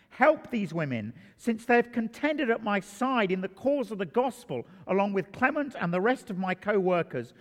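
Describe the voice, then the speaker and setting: A man preaching a sermon in a church, telling stories and stressing key phrases with emphasis. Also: sits high. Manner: storytelling, emphatic. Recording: long hall reverb.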